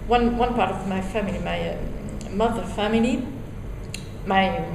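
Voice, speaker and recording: A middle-aged woman from Belgium, giving an interview in English and often pausing to search for words. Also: medium pitch, vocal fry. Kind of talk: casual interview. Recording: echoey room with faint noise.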